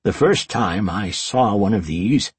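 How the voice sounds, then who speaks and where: A man voicing a frail old wizard master in a fantasy story, commanding and wistful, with an emphatic, measured delivery. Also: on the low side, animated, slightly rough, no echo, heavily acted. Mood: reminiscing. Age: older. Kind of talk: storybook character dialogue.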